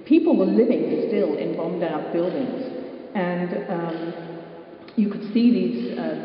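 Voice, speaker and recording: A woman's voice: fairly low pitch, slight background noise. Older English woman telling a story. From England. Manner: dry, self-mocking humor. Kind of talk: told personal story at a live storytelling event. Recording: distant, echoey hall, muffled.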